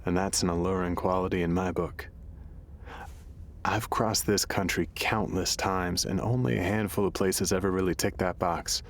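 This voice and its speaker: An actor playing an easygoing middle-aged drifter in an audio drama, gravelly and folksy, with a philosophical bent. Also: male voice, low voice, steady tone, moderate pace, a little hiss or hum. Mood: musing, easygoing. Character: gravelly middle-aged male drifter and late-night radio host, easygoing.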